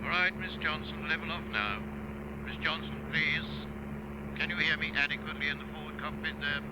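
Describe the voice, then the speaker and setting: An actor as a dry, condescending flight instructor. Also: male voice, a little high, fairly quick, very noisy, natural voice. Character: prim, sardonic male flying instructor. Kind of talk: 1970s radio drama acting.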